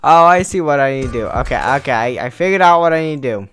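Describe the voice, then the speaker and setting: A young man with a tired, hoarse voice, rambling casually as he thinks aloud while playing a video game. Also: fairly high pitch, expressive, fast talker, a bit bright, a little hiss or hum. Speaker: let's play host. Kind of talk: casual gameplay commentary. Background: game music.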